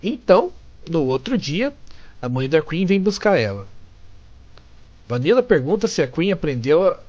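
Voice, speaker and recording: A man's voice: fairly high pitch, big pitch swings, moderate pace, darkish tone, muffled recording. A Brazilian man narrating a comic-story recap in a conversational, chatty way with amused asides. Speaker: narrator and fan translator of comic stories.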